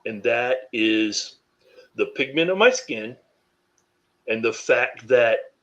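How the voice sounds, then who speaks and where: A deep-voiced man from North Carolina, a podcast guest speaking candidly and reflectively, with frequent "you know" fillers. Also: lively intonation, slow, fairly bright tone, highs cut off. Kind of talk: candid interview conversation.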